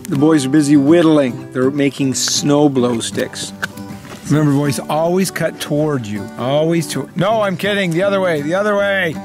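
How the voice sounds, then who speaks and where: A man's voice: on the high side, normal speed, over music. A man in his forties narrating a casual family camping vlog outdoors, joking and playful, holding the camera at arm's length. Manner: jokey, playful. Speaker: vlogger dad. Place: outdoor wooded campsite. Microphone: handheld camera at arm's length.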